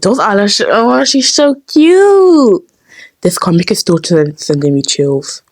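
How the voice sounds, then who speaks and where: A woman chatting casually to herself as she works on an amateur dub, halting and playful, often murmuring. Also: medium pitch, very animated, dry recording. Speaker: amateur comic dubber. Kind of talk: casual self-talk while editing a dub.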